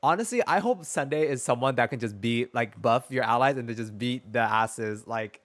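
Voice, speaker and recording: A young man chats to his stream about a story game. His voice is medium in pitch. He is expressive, sassy and full of slang, speaking close to the mic. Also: normal speed. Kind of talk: casual chat and reaction to a story game. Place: small dim room indoors.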